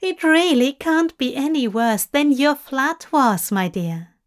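A woman voicing a careful, gentle male angel, speaking softly and mildly in a slow audiobook reading. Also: sits high, animated, pitched above the performer's own voice. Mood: tender.

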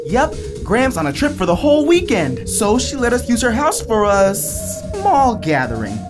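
A woman's voice: a little high, huge pitch swings, normal speed. A woman acting in an audio drama as an upbeat, patient camp leader, cheery and casual. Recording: music behind the voice.

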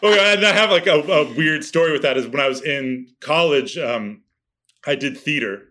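A man in his thirties with a loud voice, a podcast guest chatting casually and telling animated stories. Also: on the high side, moderate pace. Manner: animated storyteller who laughs between lines.

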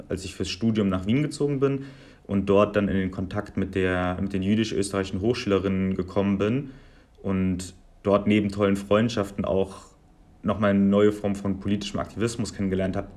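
A man in his twenties from Germany, answering interview questions in a measured, articulate way with long listing sentences. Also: on the low side, darkish tone. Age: 20s. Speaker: guest.

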